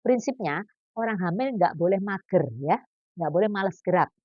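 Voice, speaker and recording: A woman's voice: medium pitch, normal speed, no echo. A woman in her fifties, a doctor, giving pregnancy exercise advice in a chatty, joking way. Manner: chatty, jokey, lively explaining with asides. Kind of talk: casual health talk. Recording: dull lo-fi.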